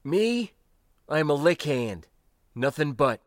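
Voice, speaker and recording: Guy doing old spacer cargo hand, slow n folksy, bit higher voice, proud old timer rambling, audiobook style. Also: sing-song, dry recording, clean studio sound. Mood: wry, friendly. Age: older.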